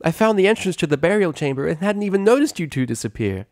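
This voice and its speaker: Man putting on an excitable, high-pitched young mare. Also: fast, no room sound, studio quality. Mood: frantic, relieved. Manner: shrill, dramatic exclaiming. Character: excitable young adult female pony, scandalized daughter.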